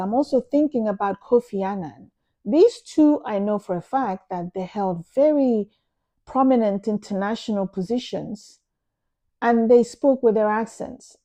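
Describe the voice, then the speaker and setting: A Nigerian woman, a native Igbo speaker, talking to camera in an earnest, emphatic way, explaining her views at a measured pace. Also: fairly high pitch, mellow. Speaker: vlogger. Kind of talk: opinion monologue to camera.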